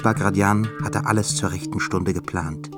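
A man with a fairly low voice narrates a literary radio play. His scripted storytelling sits over clearly heard music. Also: steady tone, moderate pace.

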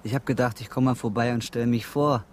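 A man's voice: medium pitch, steady tone, a little fast, slight background noise, lightly acted. An actor playing a brash, pushy young handyman in a German radio crime drama, chatty and coarse.

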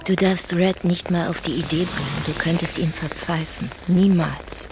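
A woman's voice: lowish voice, fairly even intonation, moderate pace, noisy background, lightly acted. An actress playing a working-class rural mother in an audio drama, wary and coaxing, with intimate, naturalistic delivery. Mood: anxious, pleading.

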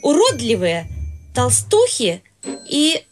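A woman's voice: sits high, huge pitch swings, slow, soft background music, exaggerated voice. A woman playing a domineering, fussy society mother in a radio play, condescending and overbearing. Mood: bossy.

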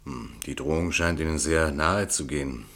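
Theatrical, sharp-voiced defence lawyer. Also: male voice, a bass voice. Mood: measured, pensive. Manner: rhetorical, emphatic in court, dry and measured in private. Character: eloquent, theatrical male defence lawyer, shrewd and courteous. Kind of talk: German radio play acting.

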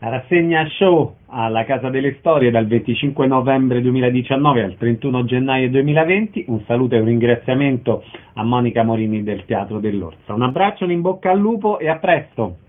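A man's voice: mid-range voice, fairly quick. A man hosting a radio interview, presenting theatre guests and listings. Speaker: radio host. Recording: narrow, phone-like radio sound.

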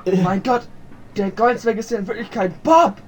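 A man's voice: high-pitched, animated, normal speed, a little mellow, faint music behind. A young man playing an incredulous, melodramatic boy detective in a tongue-in-cheek amateur radio play. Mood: astonished.

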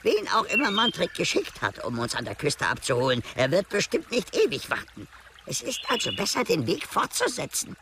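High-pitched, practical quest leader. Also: male voice, wildly swooping pitch, normal speed, noisy background, full character voice. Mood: urgent, reasoning. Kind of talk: radio play acting. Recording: trickling water ambience behind.

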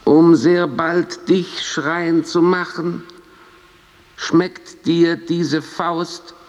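An actor playing War, a bloodthirsty, boastful god, in bombastic declamatory radio-play style. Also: male voice, a little high, flat delivery. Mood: bullying. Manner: bombastic, declamatory, slow and menacing. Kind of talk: classical comedy radio play acting in verse. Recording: long reverberant hall sound.

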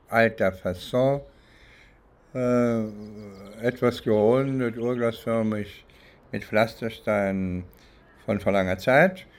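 A man from East Germany, middle-aged or older, recollecting in a deliberate, halting way as he searches for words in an interview. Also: lowish voice. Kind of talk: reflective spoken recollection.

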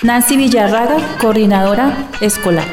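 A woman's voice: a little high, moderate pace. A woman with a Colombian accent, reading short scripted introductions as a narrator. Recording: reverberant voice over loud music.

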